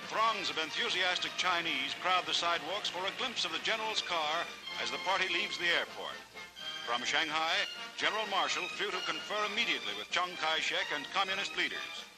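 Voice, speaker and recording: High-pitched 1940s American newsreel announcer, male. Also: expressive, brisk, poor audio. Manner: formal, declamatory announcing. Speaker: newsreel narrator. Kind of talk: 1940s newsreel scripted narration. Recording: vintage 1940s newsreel soundtrack, loud music. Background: loud newsreel music.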